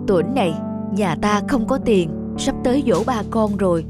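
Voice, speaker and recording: A woman voicing a gentle, hard-pressed village mother in a cartoon dub, soft, caring and earnest. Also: mid-range voice, lively intonation, moderate pace, loud music behind the voice, natural voice. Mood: sad, earnest. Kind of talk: cartoon dubbing.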